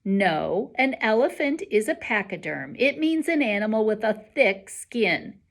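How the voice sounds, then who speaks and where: A woman voicing a quiet, observant, bookish boy with a measured, matter-of-fact manner in a storybook. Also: medium pitch, sing-song. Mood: earnest, measured.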